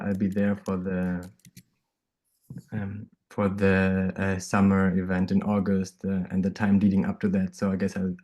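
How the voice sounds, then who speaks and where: A man from Greece who grew up in Germany, introducing himself on a video call in a halting way with many fillers. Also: deep voice, warm tone. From Greece, raised in Germany. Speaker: volunteer coordinator. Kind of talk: casual self-introduction. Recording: dull lo-fi call audio.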